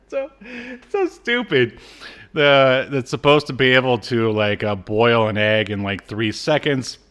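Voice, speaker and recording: A man's voice: medium pitch, animated, measured pace, fairly bright tone, clean tone. A man in his thirties or forties co-hosting a podcast, casually recapping a show with wry, mocking asides. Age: 30s to 40s. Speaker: podcast co-host. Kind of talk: casual chat recapping a cartoon.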